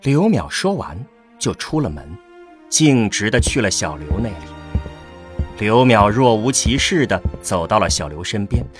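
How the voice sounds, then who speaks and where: A man's voice: on the low side, very animated, measured pace, faint music behind. A man narrating a scripted science-fiction radio drama.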